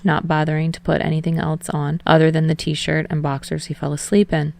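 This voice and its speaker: Low, creaky-voiced woman narrating fiction. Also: a little mellow. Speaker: audiobook narrator.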